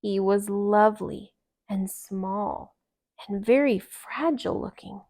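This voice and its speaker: A woman narrates a children's storybook at a slow, deliberate pace. Her delivery is calm and gentle, with expressive phrasing, some vocal fry and hushed phrase endings. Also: mid-range voice. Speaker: storybook reader.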